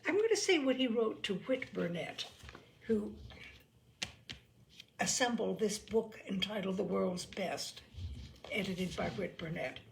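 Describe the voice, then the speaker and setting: Creaky-voiced woman reading stories expressively. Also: medium pitch, animated, unhurried, long pauses, a little hiss or hum, rough recording. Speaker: storyteller reading short stories aloud.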